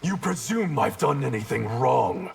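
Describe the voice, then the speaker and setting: An actor playing a cold, proud ninja clan villain in a video game, gravelly, disdainful and threatening. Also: male voice, medium pitch, expressive, a little slow. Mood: cold contempt. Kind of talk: video game fight banter. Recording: game audio with clear background noise.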